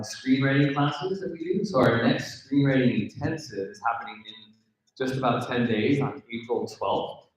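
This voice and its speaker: Male event host, mid-pitched, conversational and informal, addressing a gathering in a heavily reverberant room. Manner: conversational, engaging listeners with questions. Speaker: event host and program organizer. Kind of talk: informal live announcements to a gathering. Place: indoor mixer venue in front of a gathering. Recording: very echoey room, live event.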